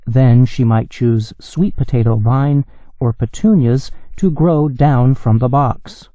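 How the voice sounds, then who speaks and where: Very dark-voiced man reading slowly. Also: mid-range voice, no room sound, dull audio. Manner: measured, evenly paced delivery. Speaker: news reader for English learners. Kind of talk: slow, clear scripted reading.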